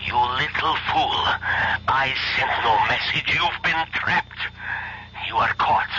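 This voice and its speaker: A man's voice: medium pitch, slow, natural voice. An actor playing an angry male criminal ringleader who scolds with contempt over the phone. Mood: contemptuous. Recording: dull lo-fi radio broadcast, faint hiss.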